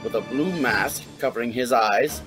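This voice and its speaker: A man's voice: medium pitch, normal speed. A man narrating a scripted story as an audiobook. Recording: music behind the voice. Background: background music.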